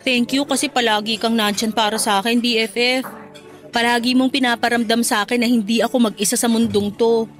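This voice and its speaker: Isang babaeng aktres na gumaganap bilang palabiro at mapagpasalamat na dalagang matalik na kaibigan sa dramang panradyo. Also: female voice, a little high, brisk, natural voice. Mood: nostalgic, grateful. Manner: teasing, expressive. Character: playful, grateful young career woman, best friend. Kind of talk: Tagalog radio drama dialogue, conversational. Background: music.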